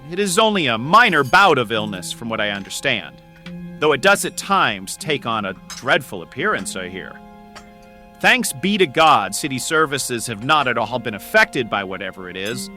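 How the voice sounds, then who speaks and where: A man's voice: medium pitch, very animated, moderate pace, sharp tone, faint music behind. A man playing a self-assured, pompous medieval deputy mayor who speaks formally in a dramatised historical audiobook. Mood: smugly reassuring.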